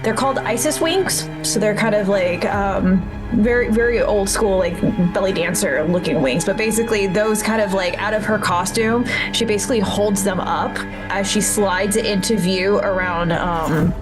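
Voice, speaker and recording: Medium-pitched woman explaining game moves over music. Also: moderate pace, few pauses. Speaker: tabletop game player. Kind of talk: casual explanation of game actions. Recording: music under the voice.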